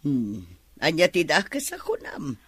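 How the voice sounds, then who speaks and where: A Filipino woman playing a curious, gently chiding middle-aged mother in a radio drama. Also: low-pitched, huge pitch swings, a little slow, husky, no room sound, heavily acted. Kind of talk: Ilocano radio drama acting.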